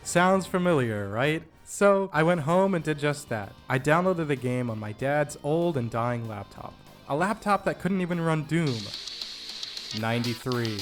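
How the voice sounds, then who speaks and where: A man's voice: on the high side, animated, a little slow. A young adult man narrating a scripted video essay, with a self-deprecating, jokey manner. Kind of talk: scripted narration with comedic asides. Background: background music.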